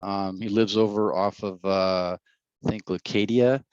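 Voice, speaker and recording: Blunt, rambling man with a low voice. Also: fairly even intonation, a little slow, darkish tone, clear, resonant tone, no echo, highs cut off. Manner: rambling, repeats words, blunt. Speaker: mayoral candidate. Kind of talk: casual interview answers.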